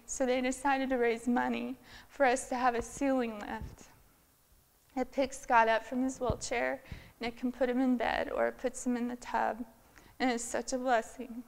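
A woman's voice: on the high side, vocal fry, poor audio. A middle-aged woman sharing her personal testimony with earnest, heartfelt storytelling at a church women's event, speaking from a lectern in a large church sanctuary. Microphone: filmed by a far camera.

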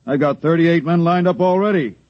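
An actor playing a gruff, incredulous spaceship captain with a blunt, colloquial manner in 1950s radio drama. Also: male voice, a little high, fairly quick, dry recording, lightly acted. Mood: matter-of-fact. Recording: dull, dry 1950s radio recording.

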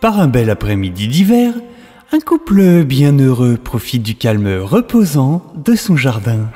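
A man voicing a grave, measured documentary narrator of a science-fiction radio saga, speaking slowly and deliberately. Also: medium pitch, sing-song, dark tone, faint music behind, pitched below the performer's own voice. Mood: solemn. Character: solemn, slow documentary voice-over narrator of a sci-fi saga. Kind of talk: scripted narration.

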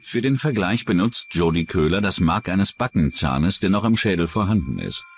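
A man's voice: low-pitched, lively intonation, normal speed, slight background noise. A man narrating a scripted true-crime documentary.

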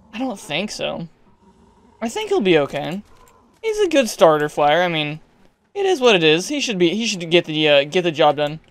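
High, smooth-voiced young gaming streamer. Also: male voice. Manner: chatty, excitable, yells at creatures. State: hoarse, losing his voice. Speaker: gaming YouTuber. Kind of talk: casual gameplay commentary.

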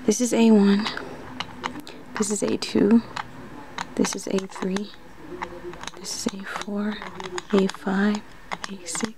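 A woman doing an ASMR roleplay in soft speech that slips into whispers, muttering hesitantly to herself like an unsure student. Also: medium pitch, flat delivery, slow, pauses often. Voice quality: soft, often breathy whispering. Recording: noisy close recording with clicks.

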